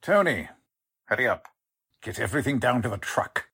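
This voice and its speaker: An actor playing a curt, wary gang boss in a 1940s-style radio crime drama. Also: male voice, medium pitch, big pitch swings, normal speed, gravelly, sharp tone, breathy edge, full character voice. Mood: brisk, commanding. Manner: curt, commanding. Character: male gang boss, commanding, wary crook.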